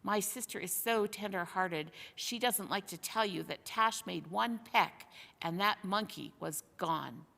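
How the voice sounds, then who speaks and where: A woman's voice: mid-range voice, unhurried, gravelly, pushed and bright, pitched below the performer's own voice, full character voice. Woman as a blunt older brother, reverberant sound. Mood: matter-of-fact. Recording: long hall-like reverb.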